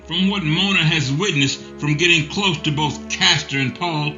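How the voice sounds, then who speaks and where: A man narrating a radio drama in a measured storytelling manner. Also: on the high side. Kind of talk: scripted narration. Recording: muffled with music underneath.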